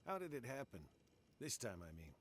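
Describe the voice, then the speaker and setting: A man playing a blunt, animated police detective. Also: mid-range voice, fairly quick, slight background noise, close to an everyday voice. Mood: skeptical. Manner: blunt, sardonic. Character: blunt, skeptical male police detective, impatient and commanding. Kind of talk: interactive radio drama acting.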